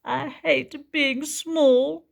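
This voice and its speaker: A woman's voice: high-pitched, fairly even intonation, drawn-out words, creaky, voice pushed up, heavily acted. Tiny, a woman doing a small plucky boy dinosaur. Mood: earnest.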